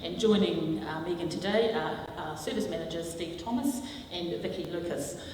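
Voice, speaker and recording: Casting: New Zealand woman as conference host, lowish voice, distant in a reverberant hall. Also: darkish tone, a little hiss or hum. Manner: measured, slightly halting. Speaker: conference host introducing speakers. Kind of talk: formal introduction. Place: large hall. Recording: echoey hall from far off.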